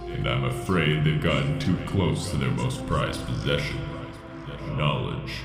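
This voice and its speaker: A man's voice: very deep voice, creaky, exaggerated voice. A man playing an ancient, arrogant machine construct who speaks formally and pompously in a sci-fi drama. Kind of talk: dramatic sci-fi audio acting. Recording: echoing voice under prominent music.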